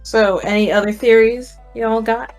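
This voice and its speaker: A woman hosting a casual fan discussion, speaking in a hesitant, halting way. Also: fairly high pitch, fairly even intonation, a little mellow, faint music behind. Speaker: podcast host.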